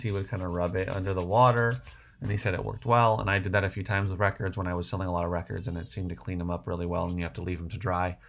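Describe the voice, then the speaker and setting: A man's voice: sits low, creaky, a bit bright, slight background noise. A man hosting a casual livestream, answering viewer questions in a rambling way, thinking aloud as he goes. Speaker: livestream host.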